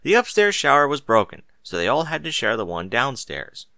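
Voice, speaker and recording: Male narrator, animated intonation, bright forceful tone, expressive story reading. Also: medium pitch, normal speed, gravelly, dry recording.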